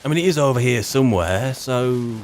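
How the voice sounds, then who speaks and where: A man's voice: medium pitch, animated, a little fast, slight background noise. A man improvising in-character commentary as he roleplays through a video game, musing and wry as he chats.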